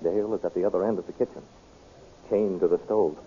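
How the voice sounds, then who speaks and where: An actor playing a captive captain held as a palace slave in a 1930s radio adventure serial. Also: male voice, deep voice, steady tone, quick pace, slight background noise, natural voice. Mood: anxious, bitter. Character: captive male captain slaving in an enemy palace kitchen. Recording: muffled tinny old radio recording.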